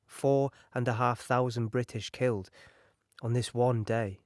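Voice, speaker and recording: An actor playing a British infantryman in an audio drama, recalling the war in a measured, matter-of-fact, musing way. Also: mid-range voice, steady tone, a little mellow, dry recording, clean studio sound, close to an everyday voice. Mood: sombre, musing. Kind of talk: audio drama monologue, conversational recollection.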